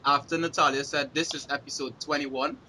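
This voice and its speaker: A man's voice: fairly high pitch, flat delivery, brisk, pushed and bright, slight background noise, poor audio. A man hosting a casual podcast, rambling with many ums and restarts. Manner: rambling, lots of fillers and restarts. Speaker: podcast host. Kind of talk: casual chat.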